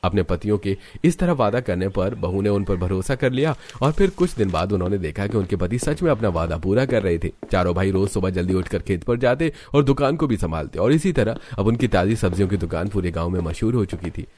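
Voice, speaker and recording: A man's voice: sits low, expressive, brisk, hardly any pauses, a little mellow, slight background noise. A man narrating a scripted cartoon family story as a storyteller.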